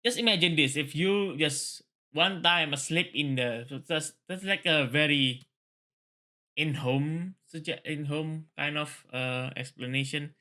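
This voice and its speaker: Male, very bright forceful voice, read-along host, casual, repetitive. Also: fairly high pitch, a little slow. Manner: rambling, repeats phrases. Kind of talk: book reading mixed with casual commentary.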